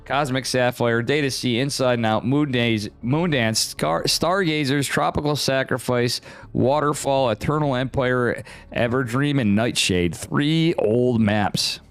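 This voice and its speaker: Male American esports caster, mid-pitched, slangy and rambling stream chat, faint music underneath. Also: a little slow, clean tone. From upstate New York, United States. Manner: rambling, slangy, chatting back to viewers. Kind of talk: casual livestream chat and game commentary.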